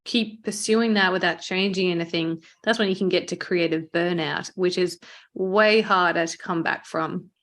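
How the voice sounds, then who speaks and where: Encouraging woman in relaxed podcast conversation. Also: fairly low pitch, normal speed. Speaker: podcast host, marketing agency owner. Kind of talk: conversational interview chat.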